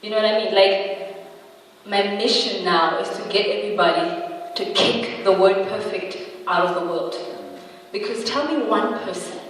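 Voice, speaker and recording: A woman's voice: medium pitch, moderate pace, slight background noise. A woman in her twenties giving a chatty, humorous motivational talk to an audience, often checking in with tag questions. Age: 20s. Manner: humorous, laughs at own jokes. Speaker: motivational speaker. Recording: echoey hall, voice from far off.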